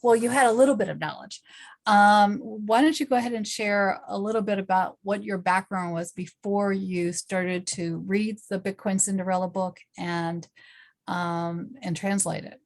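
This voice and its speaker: A woman's voice: medium pitch, steady tone, moderate pace, creaky. Woman hosting an online talk show, upbeat and earnest, lots of ums. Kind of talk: interview host.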